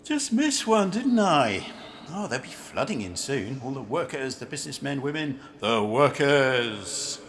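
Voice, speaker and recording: A man acting as a brusque city banker in an amateur radio play, with a very animated, swooping delivery. He grumbles and questions bluntly, and there is faint noise on the recording. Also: mid-range voice, unhurried. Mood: defensive, cynical. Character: brusque, chatty city banker waiting for a train.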